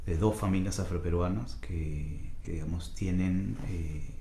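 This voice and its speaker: A man from the Lima area giving reflective, measured documentary testimony. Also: low voice, mellow, reverberant, a little hiss or hum. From Lima area, Peru.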